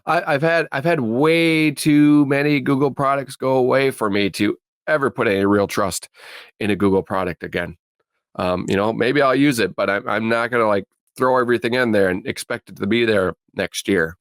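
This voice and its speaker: A man presenting tech news with his own opinions as a podcast panelist, in a halting way with repeated phrases. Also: medium pitch, dry recording.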